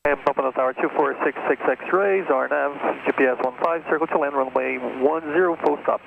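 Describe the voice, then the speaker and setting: Creaky male pilot on aircraft radio. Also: medium pitch, a little fast, phone-quality sound, slight background noise. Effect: aviation radio. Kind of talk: radio readbacks. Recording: aircraft radio transmissions with music behind.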